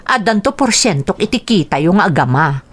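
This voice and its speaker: A woman's voice: fairly low pitch, very animated, moderate pace, breathy edge. An actress playing an affectionate, teasing wife and mother in an Ilocano radio drama.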